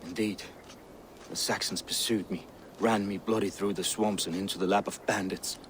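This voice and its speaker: A man playing a fatalistic, weathered Viking warrior in a video game, speaking in a solemn, measured way. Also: on the low side, steady tone, a little hiss or hum, close to an everyday voice. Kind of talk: dramatic video game acting.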